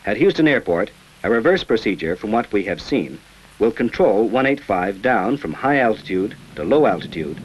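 A man reading scripted narration for an old informational film in a measured, formal manner. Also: on the low side, very animated, slight background noise, low-quality recording. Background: background music.

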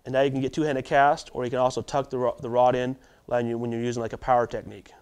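A man in his thirties hosting a tackle shop video, running through product specs in a steady delivery with small restarts, in a warehouse storeroom. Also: mid-range voice, very fast, clean tone. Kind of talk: product rundown to camera. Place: warehouse storeroom with shelves of boxes.

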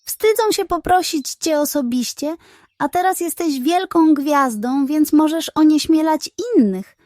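A woman plays a sly male pug schemer from a children's storybook. The voice is very high and theatrical, greedy and full of wheedling flattery, with a dry, close sound. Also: a little slow, no echo.

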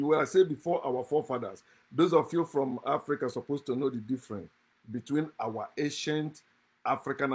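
Husky man lecturing with scorn. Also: medium pitch. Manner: fiery, scornful, lecturing, chuckles between points. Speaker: channel host. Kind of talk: impassioned monologue to camera. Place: indoor room, seated at a desk.

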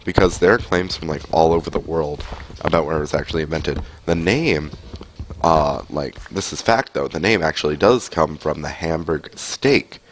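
Deep-voiced young man with a cold. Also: moderate pace, a little hiss or hum. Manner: rambling, chatty, many fillers. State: has a cold. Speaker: streamer. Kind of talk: casual gameplay commentary. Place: home room, indoors. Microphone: headset mic.

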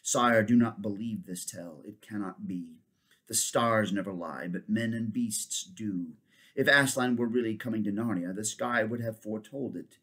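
A man voicing an old, wise male centaur stargazer who speaks in grave, slow, measured tones. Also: fairly low pitch, a bit bright.

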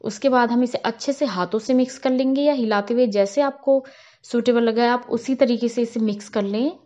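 Cooking channel host, woman, higher voice, calm step-by-step voice-over, slightly muffled lo-fi sound. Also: normal speed. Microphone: voice-over, not on camera.

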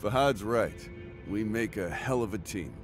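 An actor playing a sincere, steady young mercenary commander in a video game, plain-spoken and earnest. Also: male voice, fairly low pitch, unhurried, over music. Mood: modest, wry. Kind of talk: video game cutscene acting.

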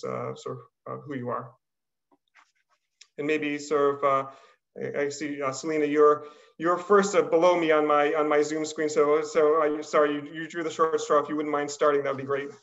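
A middle-aged man hosting an online panel, speaking in a halting, polite, apologetic way over a video call. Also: fairly high pitch, flat delivery, creaky, fairly bright tone. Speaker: admissions dean hosting a panel. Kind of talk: hesitant unscripted welcome on a webinar. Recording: slightly dull video-call audio.

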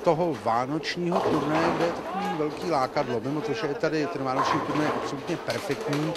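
Joking man, a bit high, noisy room. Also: fairly even intonation. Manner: joking, colloquial. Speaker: interviewer. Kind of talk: casual bantering interview. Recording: noisy venue recording. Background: loud background noise.

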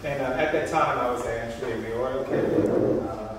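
A man's voice: medium pitch, fairly quick. A middle-aged man giving a casual, conversational introduction of himself as a candidate. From Oakland, California. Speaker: political candidate and business owner. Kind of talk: informal self-introduction speech. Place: small storefront meeting room, indoors. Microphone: distant camera mic. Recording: echoey room, far camera, noisy.

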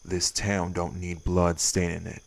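A man's voice: low-pitched, monotone, measured pace, vocal fry. A man voicing a stern, weary wraith priest in an audio roleplay, with a laconic, folksy way of talking. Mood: stern, dry. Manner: laconic, folksy, drawled nonstandard grammar. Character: stern, weary male wraith priest guarding his town. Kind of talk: scripted audio roleplay acting.

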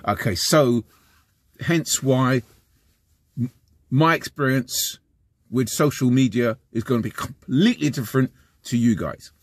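A man's voice: mid-range voice, measured pace, long pauses, dry recording. London man in his fifties, dry sound. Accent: West London English. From London, England. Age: 50s. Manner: rambling, repeats and restarts, animated gestures. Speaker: vlogger. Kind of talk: casual talking-to-camera vlog. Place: inside a parked van, small enclosed space. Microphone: camera close in front of face.

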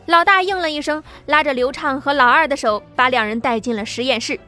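A woman reading a science fiction audiobook with steady, dramatic storytelling. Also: high voice, a little fast, faint music behind. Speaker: audiobook narrator. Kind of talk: scripted narration.

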